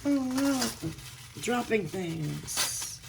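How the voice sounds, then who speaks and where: A woman with a hoarse voice from a cold, hosting a casual unboxing video and gushing softly over pretty items. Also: a little high, very animated, very slow, very noisy. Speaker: unboxing channel host.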